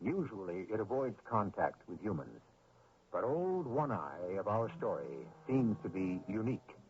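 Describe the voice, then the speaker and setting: A man hosting and narrating a radio mystery in a theatrical, measured, solemn and ominous scripted style. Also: on the low side, big pitch swings, darkish tone. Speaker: host and narrator. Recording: narrow old radio broadcast, faint noise.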